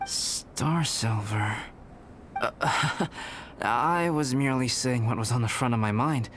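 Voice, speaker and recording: A man voicing a calm, enigmatic young male alchemist in a video game cutscene, measured and philosophical. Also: medium pitch, a little hiss or hum. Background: game music.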